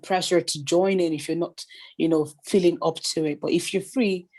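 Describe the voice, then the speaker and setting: Husky woman with a sore throat. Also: fairly low pitch, steady tone, moderate pace, a little mellow. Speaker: participant. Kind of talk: casual chat. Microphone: video call.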